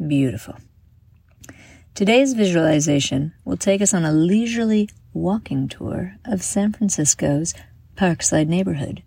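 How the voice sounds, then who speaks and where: A woman guiding a meditation from a script in a soothing, measured manner, with breathy exhaled phrases. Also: on the low side, lively intonation, mellow, no room sound. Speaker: meditation guide and host. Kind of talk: guided meditation, scripted narration.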